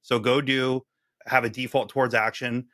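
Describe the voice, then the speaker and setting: A man's voice: medium pitch, little pitch movement, moderate pace, a bit bright, no echo, clean studio sound. A man guesting on a podcast, a team leader explaining his approach in a direct, practical way. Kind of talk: casual discussion.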